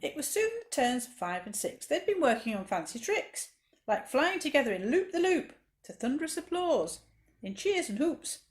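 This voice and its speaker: A woman's voice: high-pitched, big pitch swings, normal speed. A woman reading a rhyming children's story expressively, with dramatic emphasis, as a library storyteller.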